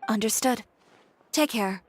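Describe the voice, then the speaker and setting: A woman's voice: on the high side, unhurried. A woman playing a proud, self-reliant fashion designer in a video game, composed and firm with clipped delivery. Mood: cool, firm.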